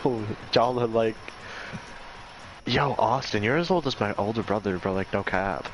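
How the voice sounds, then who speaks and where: A young man from Michigan chatting excitedly in a voice chat, full of slang and quick to hype the other speaker up. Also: lowish voice, slight background noise, poor audio. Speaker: gamer in voice chat. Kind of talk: casual chat.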